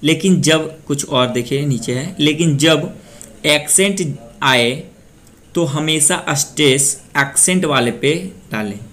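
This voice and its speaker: A young man from Bihar, India, teaching a pronunciation lesson and repeating each word slowly for practice. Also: fairly high pitch, a bit bright. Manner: slow, repeats words drill-style. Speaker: language teacher.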